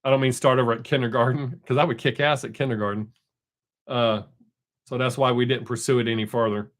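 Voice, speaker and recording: A man's voice: mid-range voice, fairly even intonation, brisk. A middle-aged man, hosting a casual live stream and chatting with viewers. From American South. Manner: chatty, rambling, reads chat aloud. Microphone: desk microphone.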